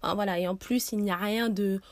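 A young woman hosts a podcast and asks long, earnest questions, often stopping to search for words. Her pitch is average and the sound is dry and close to the mic. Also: steady tone, quick pace, no room sound. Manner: earnest, wordy questions with fillers. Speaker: podcast host. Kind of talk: podcast interview.

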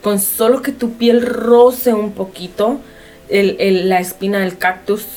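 A woman's voice: mid-range voice, a little slow, a little mellow, soft background music. A woman with a Honduran Spanish accent, an interview guest telling a personal story in casual conversation, explaining things directly to the listener.

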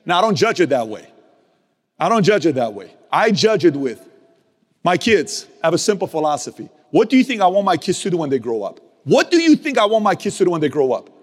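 A man's voice: on the high side, big pitch swings, a little fast, big-room sound. A man giving a motivational speech to his team, emphatic and repetitive, with casual slang and mild cursing. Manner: emphatic, repeats key phrases, swings from loud to hushed. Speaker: business leader speaking to his team.

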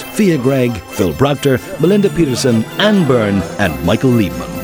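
Animated male announcer over music. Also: mid-range voice. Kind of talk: scripted closing credits announcement. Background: music with bells.

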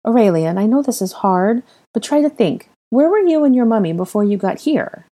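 A woman's voice: mid-range voice, lively intonation, moderate pace, dark tone, slightly rough, pitched above the performer's own voice. A woman voicing a hesitant, earnest young man in an audiobook story, awkward and concerned. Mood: serious. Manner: uncertain, earnest.

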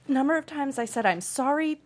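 A woman's voice: high voice, expressive, fairly quick. A woman acting a flustered, guilt-ridden young heroine in a comedy table read, prone to justifying herself. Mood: wry confessional.

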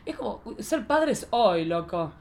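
A woman's voice: medium pitch, big pitch swings, measured pace, a little mellow. A woman with an Argentine accent hosting a casual chat with playful, campy banter. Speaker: podcast host.

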